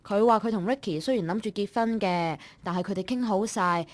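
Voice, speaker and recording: A woman's voice: medium pitch, fairly quick, natural voice. An actress playing a nosy young female office colleague in a radio drama, talking in a blunt, colloquial, gossipy way. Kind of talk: Cantonese online radio drama acting.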